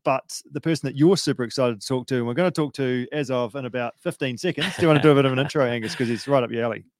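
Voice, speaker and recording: A man's voice: medium pitch, very fast, no echo, clean studio sound. A man hosting a podcast, talking in a loose, chatty way full of fillers. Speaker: podcast host. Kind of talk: casual podcast chat.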